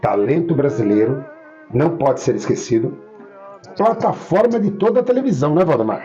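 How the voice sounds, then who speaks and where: A man's voice: a little high, big pitch swings, moderate pace, dark tone. A man with a Brazilian accent and a head cold, hosting a conversational interview and often echoing his guest's words. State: has a cold. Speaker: interviewer, host. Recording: dull lo-fi sound, faint music.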